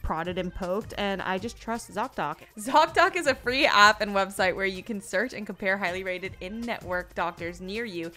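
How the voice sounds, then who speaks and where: A woman with a bright, forward voice at a medium pitch, speaking as a podcast co-host. She is conversational and jokey, with music playing behind her. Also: moderate pace, hardly any pauses. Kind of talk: casual chat and ad reads.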